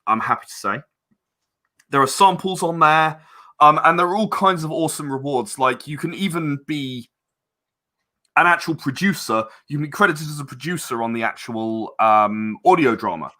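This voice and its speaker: A man's voice: a little high, sharp tone. A man chatting casually as an interview guest, hesitant and self-correcting, earnest and polite. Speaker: interview guest, game publisher and audio drama creator.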